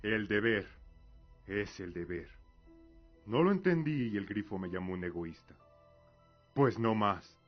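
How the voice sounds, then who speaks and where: Man acting a low-voiced, vengeful warrior father. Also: lively intonation, measured pace, pauses often. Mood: bitter, resolved. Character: grieving, vengeful warrior father, stern and devout. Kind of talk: radio drama acting. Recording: muffled lo-fi audio, music behind. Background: music.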